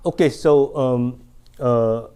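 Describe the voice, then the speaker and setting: A man's voice: mid-range voice, mellow, clear, resonant tone. A middle-aged man lecturing on mathematics at a chalkboard in a large hall, with many fillers and restarts. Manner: halting, many restarts and fillers, asks "right?".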